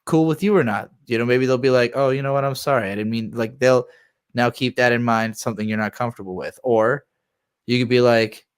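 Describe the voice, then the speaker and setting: A man's voice: medium pitch, brisk, clean tone. Male streamer, mid-range voice, loose conversational reaction commentary, rambles and swears freely. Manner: conversational, rambling, sweary, acts out imagined replies. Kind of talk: casual unscripted reaction commentary.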